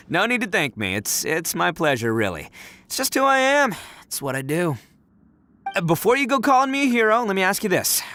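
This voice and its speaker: An actor playing a brash, big-hearted young hero in a video game, with a showy, boisterous manner. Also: male voice, sits high, a bit bright. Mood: flattered. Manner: boisterous, showy, self-satisfied.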